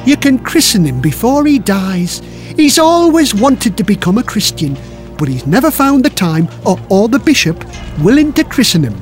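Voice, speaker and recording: A wheedling Viking sidekick, put on by a male storyteller. He speaks high and singsong, swinging through exaggerated mock-innocence like a sly storybook rogue. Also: very animated, normal speed, faint music behind.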